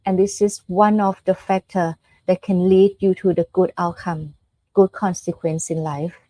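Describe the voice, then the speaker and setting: Thai-accented woman, native Thai speaker, soft-edged mellow tone, informal Buddhist teaching, conversational, dry close sound. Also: medium pitch, no room sound, rough recording. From Thailand. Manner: conversational, explains step by step with frequent check-in questions. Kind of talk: informal dharma talk.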